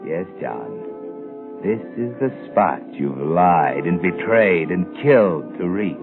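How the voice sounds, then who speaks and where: An ominous male narrator of 1940s radio suspense, very low-pitched and fatalistic. He speaks with knowing, taunting insinuation. Music plays behind him on a muffled, aged recording. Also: animated, slow pace, full character voice. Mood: grim, taunting.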